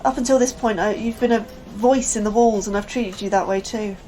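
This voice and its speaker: A woman's voice: mid-range voice, fairly even intonation, a little fast, warm tone, slight background noise, close to an everyday voice. An actress playing an anxious, apologetic woman scientist in a sci-fi audio drama, hesitant and earnest. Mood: awkward, apologetic. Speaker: actor in a sci-fi horror fiction podcast.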